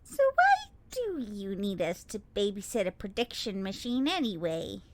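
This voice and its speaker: A woman playing an excitable, loyal young sidekick in an audio drama, exclamatory, dramatic and incredulous. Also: medium pitch, normal speed. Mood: curious, suspicious.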